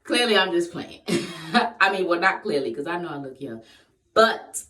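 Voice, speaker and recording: A woman of twenty-eight chatting casually in a question-and-answer vlog, playful and quick to laugh. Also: fairly low pitch, lively intonation, pushed and bright, soft background music. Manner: playful, laughs often, breaks into sung bits. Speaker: vlogger. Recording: echoey room, voice far from the mic.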